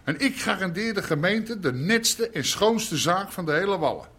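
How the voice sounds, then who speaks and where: Husky, blunt crime boss. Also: male voice, high-pitched, expressive, moderate pace, fairly bright tone, heavily acted. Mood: blunt, self-assured. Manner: plain-spoken, folksy, emphatic. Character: blunt old-school Amsterdam underworld nightlife boss, self-made man. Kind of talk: naturalistic audio drama acting.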